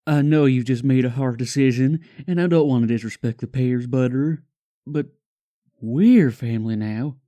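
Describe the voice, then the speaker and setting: A man voicing a gentle young male pony husband in a fan-made audio drama, soft, earnest and comforting. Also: mid-range voice, moderate pace, voice pushed up, lightly acted. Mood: devoted, hopeful. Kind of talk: amateur fan-fiction audio drama acting.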